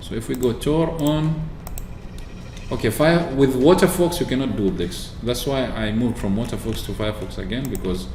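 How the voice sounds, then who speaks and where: Chatty man demoing software, echoey room. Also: medium pitch, normal speed, slight background noise. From Oman. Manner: chatty, explains with many fillers and restarts. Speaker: tech video host. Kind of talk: screen-recorded software walkthrough. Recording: echoey room with faint ambience.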